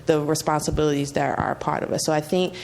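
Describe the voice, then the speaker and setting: A woman speaking as a panelist, giving a thoughtful answer in a halting way, repeating words as she goes. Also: sits low, steady tone, vocal fry, darkish tone. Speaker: panel speaker at a community address.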